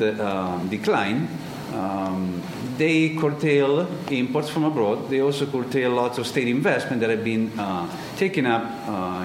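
An Italian-accented man gives a conference talk on economics, stopping often to search for words. His voice is of middling pitch. He sounds reverberant, as if heard in a large hall, with clear background noise. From Italy. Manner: halting, many ums and uhs, searching for words.

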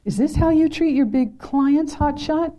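A woman's voice: on the low side, brisk, dark tone, lightly acted, low-quality recording. A woman reading a book aloud, voicing a furious, confrontational, stocky female office assistant. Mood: furious, demanding. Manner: barking, confrontational.

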